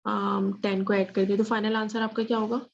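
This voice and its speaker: A woman's voice: mid-range voice, flat delivery, fairly quick, gravelly. A woman teaching an interactive statistics lesson, asking questions and checking understanding, mixing in English phrases. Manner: mixes English phrases into speech. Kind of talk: interactive online math lesson. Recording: dull lo-fi audio.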